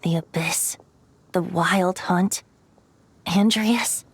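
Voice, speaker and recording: A woman's voice: lowish voice, very slow, slight background noise. A woman voicing a youthful, whimsical male bard in a video game, eloquent and playfully proud. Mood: pensive. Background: game music.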